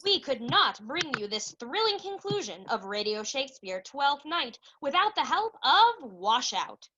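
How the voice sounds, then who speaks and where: A young woman playing a chirpy 1940s-style radio announcer, pitching ads and recapping the story, on slightly dull audio. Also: very animated, a little slow, noisy background. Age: young adult. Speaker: narrator and announcer.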